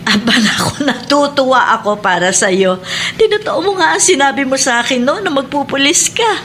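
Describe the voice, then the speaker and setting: High-voiced, motherly Filipino schoolteacher. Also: expressive, normal speed, fairly bright tone, slight background noise. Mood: proud, moved. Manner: emotional, motherly, affirming. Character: kindly female schoolteacher, warmly proud of former pupil. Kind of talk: Philippine radio drama acting.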